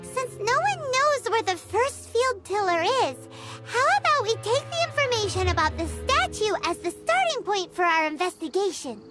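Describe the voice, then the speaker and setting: Tiny female game fairy, extremely high squeaky childlike voice, excitable, inquisitive, swooping intonation. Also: normal speed. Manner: exclamatory, inquisitive, refers to self in third person. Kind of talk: video game voice acting. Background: game music.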